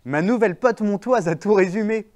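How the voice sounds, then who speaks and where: A man's voice: high-pitched, a little fast. A man presenting a popular neuroscience segment, narrating playfully and asking passers-by questions. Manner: lively, explanatory, playful. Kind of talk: narration and street interviews.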